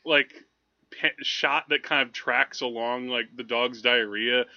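A man co-hosting a movie podcast, talking casually in a halting, digressive, amused way. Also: mid-range voice, fairly even intonation, vocal fry, bright, forceful tone, no room sound. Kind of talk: casual film review chat. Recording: slightly dull, lo-fi podcast audio.

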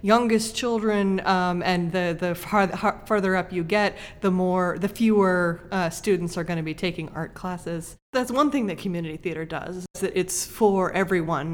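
A woman in conversation, explaining her ideas thoughtfully like a teacher, building points in short clauses. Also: lowish voice. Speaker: podcast guest host, creative writing teacher.

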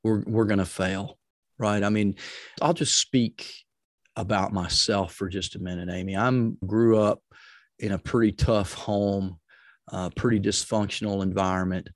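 Energetic man with a deep, loud voice. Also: measured pace, no room sound. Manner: energetic, emphatic, repeats phrases for stress. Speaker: podcast guest, leadership coach. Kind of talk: explanatory interview talk with advice and personal stories.

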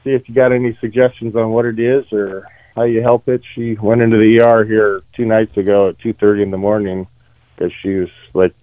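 A man's voice: lowish voice, flat delivery, brisk, no echo. A man calling in to a radio show, chatty and laid-back. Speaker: caller. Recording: tinny phone line.

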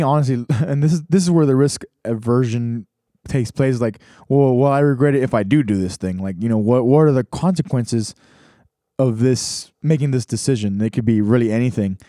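A man's voice: medium pitch, dark tone, dry recording, clean studio sound. A young man hosting a podcast, thinking aloud in a casual reflective monologue with many restarts and fillers. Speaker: podcast host.

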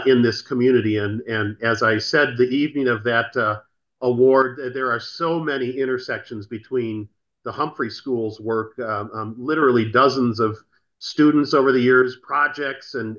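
Bright-toned man with a dull recording. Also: mid-range voice. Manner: halting, warm thanks, many restarts. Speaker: podcast host. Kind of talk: interview conversation. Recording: dull lo-fi sound.

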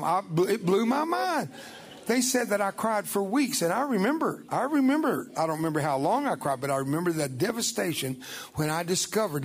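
An older man gives a testimony to a church congregation, his first language Spanish. He tells his story in a folksy, emphatic way, with a voice set somewhat high for a man. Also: creaky. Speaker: guest speaker.

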